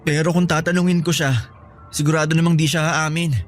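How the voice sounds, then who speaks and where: A man's voice: fairly high pitch, flat delivery, brisk, faint music behind, close to an everyday voice. A man with a Filipino accent playing a worried, earnest young boyfriend and dutiful son in radio drama dialogue. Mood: worried, pensive.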